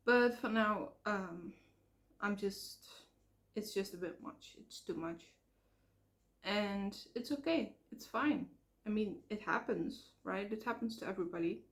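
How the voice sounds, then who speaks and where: A woman with a Dutch accent talks straight to her viewers in a loose, personal vlog. Her voice sits at an everyday middle pitch. She speaks earnestly and rambles a little, filling gaps with "um" and catching her own slips. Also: unhurried. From Netherlands. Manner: earnest, rambling with fillers and self-corrections. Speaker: vlogger. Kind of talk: casual personal vlog talk.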